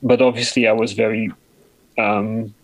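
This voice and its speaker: A man with a deep voice giving a personal account in an interview, often pausing and searching for words. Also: little pitch movement, no room sound, rough recording. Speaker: interview guest.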